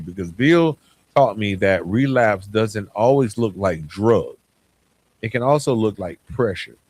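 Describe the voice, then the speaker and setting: A man hosting a podcast, talking solo in a reflective, plain-spoken way with emphatic pauses and conversational asides. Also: fairly low pitch, dry recording. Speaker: podcast host.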